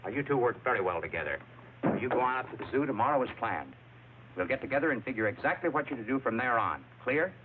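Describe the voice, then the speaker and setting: Fast, blustering police boss. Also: male voice, mid-range voice, sing-song, fairly bright tone. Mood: bossy. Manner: blustering, bossy. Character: brusque, excitable male police boss. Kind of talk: mid-century radio drama acting. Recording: thin, noisy old radio recording.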